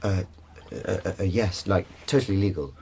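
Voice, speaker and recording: A man's voice: low-pitched, moderate pace, vocal fry, a little mellow, breathy edge, exaggerated voice. An actor playing a cool, cagey teenage boy being questioned in a radio drama, hesitant and evasive.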